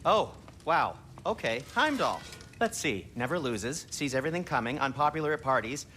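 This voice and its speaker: A man's voice: mid-range voice, big pitch swings, moderate pace, bright, forceful tone, a little hiss or hum. A man voicing a quippy, jittery adviser in a fantasy video game, thinking aloud. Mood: impressed, worried. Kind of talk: video game dialogue acting.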